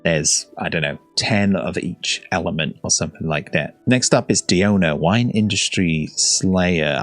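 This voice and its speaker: A man's voice: low voice, no echo. A man hosting a casual reaction video, talking in a rambling, hesitant way as he thinks aloud. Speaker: YouTube reaction host.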